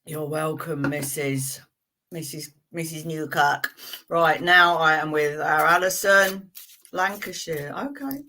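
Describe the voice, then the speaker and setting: A woman's voice: sits low, takes it slowly, pushed and bright, a little hiss or hum. A woman hosting a live tarot reading stream, chatting in a friendly way with viewers and reading cards for them, with frequent fillers and laughs.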